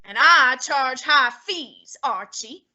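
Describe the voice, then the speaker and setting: A fussy, heavyset older detective holds court in an old-time radio drama, played by a woman. He talks down to everyone in slow, high, bright tones, savoring each long word. His manner stays haughty and imperious throughout. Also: expressive, muffled recording, full character voice. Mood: exasperated. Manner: haughty, fussy, lofty diction.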